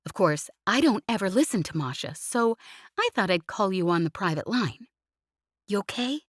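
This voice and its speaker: A woman's voice: mid-range voice, animated, normal speed, slightly rough, dry recording, studio quality, voice pushed up. A woman narrator voicing a brash young woman pilot who chatters cheekily and won't stop talking, in an audiobook reading. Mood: coaxing.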